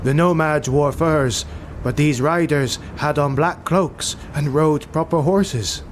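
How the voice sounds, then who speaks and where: A man's voice: a little high, slow pace, slight background noise, voice pushed up. A man voicing a nervous, obedient soldier reporting to his lord in a fantasy audiobook.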